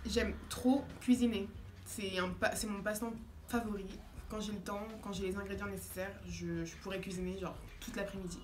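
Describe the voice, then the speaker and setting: A woman in her twenties from France, talking casually and calmly as a vlogger. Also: medium pitch, moderate pace, roomy sound, a little hiss or hum. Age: 20s. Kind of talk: casual chat.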